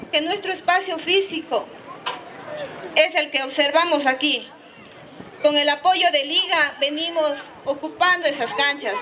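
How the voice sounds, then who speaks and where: A woman's voice: high-pitched, pushed and bright. A young woman giving a public speech as an event president, outdoors at a microphone. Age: young adult. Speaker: championship president. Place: outdoor stage by a sports field. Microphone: microphone on a stand. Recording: outdoor PA with crowd noise.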